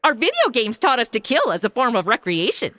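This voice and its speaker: Woman voicing a sarcastic young woman, high bright voice, lively, dry close sound, audio comedy. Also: fairly quick, no room sound. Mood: snarky. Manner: snarky deadpan quips. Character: flippant modern young woman, sarcastic, gleefully dark. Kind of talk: comedic audio drama acting.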